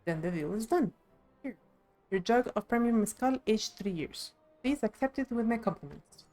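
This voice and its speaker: A woman's voice: fairly high pitch, lively intonation, normal speed, darkish tone, faint music behind. A woman reading video game dialogue aloud expressively on a live stream.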